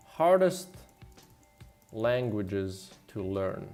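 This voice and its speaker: A man chatting casually as he works on a livestream, rambling and thinking out loud. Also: on the low side, big pitch swings, extremely slow. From Slovenia. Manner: rambling, thinking aloud, mildly profane. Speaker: game developer and streamer. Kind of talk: casual livestream chat while working. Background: background music.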